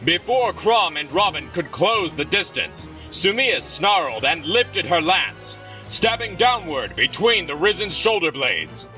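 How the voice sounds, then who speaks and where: A man narrates a fantasy story in a dramatic, scripted style. His bright voice moves widely in pitch and sits a little distant from the microphone, over faint music. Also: very animated, a little slow, hardly any pauses.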